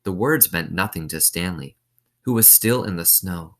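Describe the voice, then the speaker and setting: Low-voiced man reading a story aloud. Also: expressive, moderate pace, a bit bright. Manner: explains simply, asks listeners questions. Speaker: reader of a children's novel. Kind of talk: read-aloud storytelling with explanatory asides.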